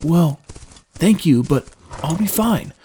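A man reads as a young male fantasy adventurer, polite and a little unsure. The voice is lifted in pitch and mild, with clear noise in the recording. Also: expressive, takes it slowly, mellow. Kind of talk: audiobook character voice.

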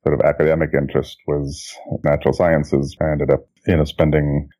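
A middle-aged man from Indonesia, a guest telling his life story in a relaxed, reflective way, often restarting his sentences and chuckling. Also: very deep voice, a little fast. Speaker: guest, children's book author and graphic designer. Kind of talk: relaxed interview conversation. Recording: dull lo-fi audio.

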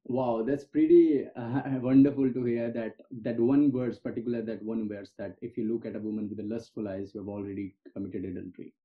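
Earnest podcast host on a tinny line. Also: male voice, lowish voice, fairly even intonation. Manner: earnest, halting, with restarts. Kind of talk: interview questions.

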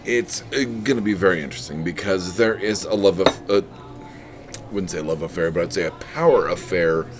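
A man's voice: sits low, normal speed. A man co-hosting a podcast in a restaurant, rambling casually with crude jokes and many fillers. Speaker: podcast co-host. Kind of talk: casual chat. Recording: podcast recorded in a restaurant with music behind.

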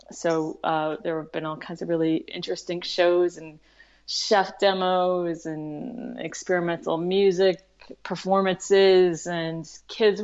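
A woman talks casually as a podcast guest, eager and giggly. Her voice sits a little low. The sound is slightly dull and lo-fi. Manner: enthusiastic, giggly. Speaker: podcast panellist, film screening organiser. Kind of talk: casual chat.

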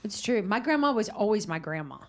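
A woman's voice: mid-range voice, sing-song, a little fast. A woman in her fifties hosting a podcast, chatty and encouraging, laughing often with her guests. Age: 50s. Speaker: podcast host. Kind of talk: casual chat.

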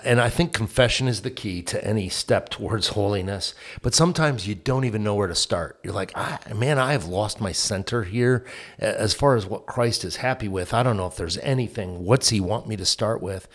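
A man's voice: lowish voice, a little fast. A man on a faith podcast speaking reflectively, telling a story with hesitant fillers. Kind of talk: casual faith podcast chat.

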